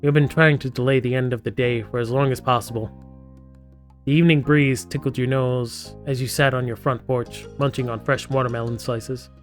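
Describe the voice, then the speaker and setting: A man's voice: medium pitch, fairly even intonation, fairly quick, soft background music. A man hosting a gaming live stream, playfully reading story text aloud and breaking off into theatrical, jokey asides.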